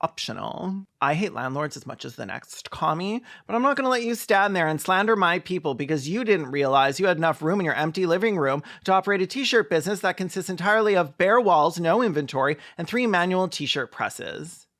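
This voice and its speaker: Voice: medium pitch, animated, a little fast, few pauses, fairly bright tone. A man giving sarcastic, mocking commentary as the host of a film review, with casual swearing.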